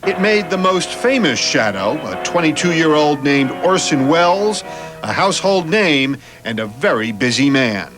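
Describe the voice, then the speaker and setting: A man's voice: on the high side, big pitch swings, measured pace. Male reporter voice-over: playful storyteller, very varied intonation, prominent music bed. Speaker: reporter narrator. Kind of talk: scripted feature narration.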